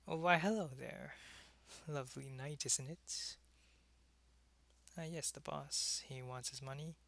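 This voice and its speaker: A man's voice: on the high side, fairly even intonation, unhurried, gravelly, a bit bright, dry recording. A man playing a world-weary, lonely vampire who was once a human soldier, in an intimate, conversational ASMR roleplay. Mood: coolly defiant.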